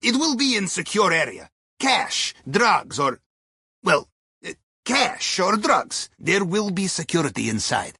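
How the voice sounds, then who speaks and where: A wry, jovial Russian man planning heists in a video game. His voice is high and husky, with very animated intonation. He chats in droll, folksy broken English with a thick accent. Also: a little slow, no echo, clean studio sound, full character voice. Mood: advising, cautionary. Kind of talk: video game mission dialogue over radio.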